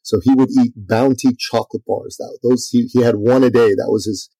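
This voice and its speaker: Man, from Iran, middle-aged, gravelly, husky, dry close sound, casual interview talk, lots of ums. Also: medium pitch, steady tone, brisk, mellow, no echo, poor audio. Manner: talkative, many fillers and restarts. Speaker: podcast guest and author.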